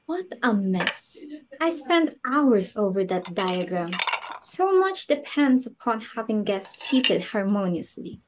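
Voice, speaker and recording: A woman's voice: mid-range voice, big pitch swings, slow, noisy background. A woman playing an imperious, short-tempered, status-obsessed society hostess and mother in an amateur play reading, with an indignant, theatrical delivery.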